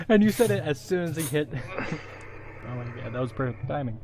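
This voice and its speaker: A man's voice: on the high side, animated, moderate pace, a crowd in the background. Joking man on a voice call. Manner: joking, teasing, laughs often. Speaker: co-host watching a film. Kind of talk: casual group commentary over a movie.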